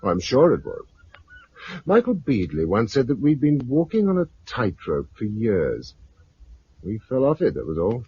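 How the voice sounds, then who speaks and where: An actor playing a weary, reflective British husband and farmer surviving a catastrophe, in a 1950s radio drama style. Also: male voice, on the low side, huge pitch swings, measured pace, husky, exaggerated voice. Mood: brooding. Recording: muffled, noisy 1950s radio recording.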